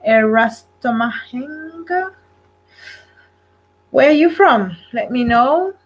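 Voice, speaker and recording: A woman's voice: high voice, expressive, slow, poor audio. A Kenyan woman hosting a casual livestream, talking with many fillers and hesitations and speaking straight to her viewers. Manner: addresses live viewers directly. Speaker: livestream host. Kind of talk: casual solo livestream talk.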